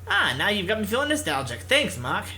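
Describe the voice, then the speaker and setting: A man voicing an easygoing young male pro gamer in a visual novel, telling things in a relaxed, unhurried manner. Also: high voice, slight background noise, heavily acted. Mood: fond, nostalgic. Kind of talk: visual novel character read-aloud.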